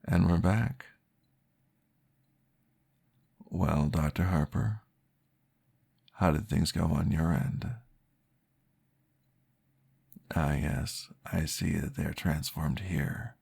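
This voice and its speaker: A man voicing a calm, patient male vampire therapist, soft-spoken and reassuring, often dropping into a whisper in an ASMR roleplay. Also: very deep voice, takes it slowly, exaggerated voice. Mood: calmly upbeat. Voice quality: gravelly, husky. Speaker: therapist guiding a listener.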